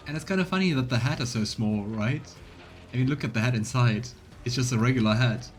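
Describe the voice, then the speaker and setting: A man's voice: mid-range voice, fairly quick. A man doing casual let's play commentary, chatting as he thinks aloud and reads game text. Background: faint game music.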